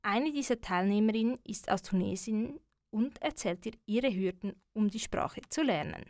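A woman presenting a community radio feature in a clear, measured way. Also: medium pitch, darkish tone, no echo, studio quality. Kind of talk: scripted radio narration.

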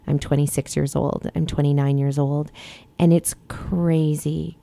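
Low, flat-voiced woman podcast host. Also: a little slow, creaky, dry recording. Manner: earnest, passionate, rambling with many fillers. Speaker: podcast host and health coach. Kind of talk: casual podcast conversation.

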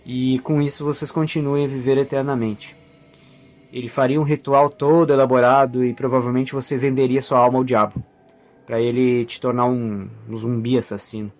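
Man as young mage hunter, Brazilian accent, mid-pitched, grave, earnest, scornful, audio drama, music behind. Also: level tone, moderate pace, darkish tone, lightly acted. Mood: grim, explaining. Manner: grave, explanatory.

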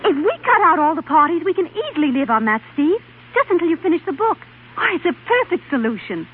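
On a narrow, hissy 1930s radio recording, a determined young woman. Her voice is high and swoops freely as she briskly coaxes and insists. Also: very animated. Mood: excited, persuasive. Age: young adult. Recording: scratchy, narrow 1930s radio broadcast, hum.

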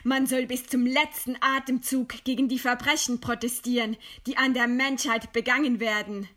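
A woman's voice: a little high, fairly even intonation, normal speed, a bit bright, close to an everyday voice. An actress playing a proud, scornful woman intellectual defying her executioner in eloquent, theatrical radio play declamation. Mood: scornful, indignant.

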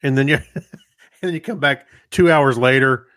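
A man's voice: mid-range voice, moderate pace, a bit bright, no echo, clean studio sound. A man co-hosting a casual podcast, laid-back, chiming in with short agreements and quips. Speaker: podcast co-host. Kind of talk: casual chat, reacting to the host.

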